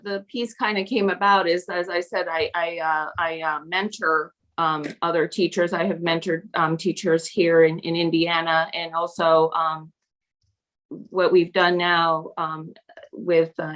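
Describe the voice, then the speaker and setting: A woman from Peru with a somewhat low voice speaks as a guest teacher on a podcast. She talks in a halting way, stopping and restarting her sentences and filling gaps with "um.". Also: a bit bright, low-quality recording. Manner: halting, with many fillers and restarts. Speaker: National Board certified teacher and mentor. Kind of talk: conversational podcast interview.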